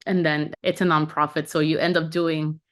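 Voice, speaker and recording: A woman's voice: low-pitched, level tone, normal speed. A young woman from Puerto Rico, a podcast guest who talks in an upbeat way and laughs often. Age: young adult. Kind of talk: podcast interview.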